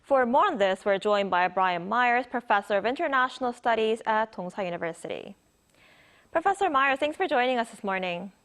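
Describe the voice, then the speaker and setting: A woman news anchor interviewing a guest in a measured, formal broadcast style. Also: a little high, expressive, a bit bright.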